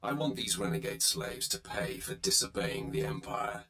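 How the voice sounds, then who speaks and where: An actor playing a haughty, menacing dark warrior in a video game, imperious and curt, with a roomy echo. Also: male voice, rumbling low, big pitch swings, normal speed, exaggerated voice. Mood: cold, menacing. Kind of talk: video game hero-villain acting.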